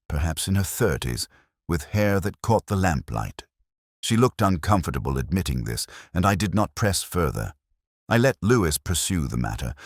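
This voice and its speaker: A man narrating a literary detective story in a measured, reflective, melancholy manner. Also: very deep voice, dry recording, clean studio sound.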